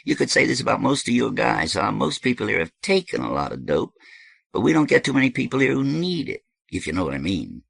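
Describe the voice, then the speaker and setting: A man acts out an easygoing, seasoned stoner with tattoos for an audiobook. The voice is husky and creaky and swings about freely as he rambles in emphatic slang. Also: medium pitch, sing-song, a little fast, a bit bright, no room sound, pitched above the performer's own voice, heavily acted. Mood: earnest, easygoing. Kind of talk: audiobook character dialogue.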